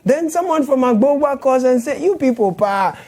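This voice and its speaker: A man's voice: very high voice, expressive, moderate pace, a little mellow. A young man with a Ghanaian accent hosting a satirical news show from a studio, playful and sarcastic. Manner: playful, sarcastic, laughs while talking.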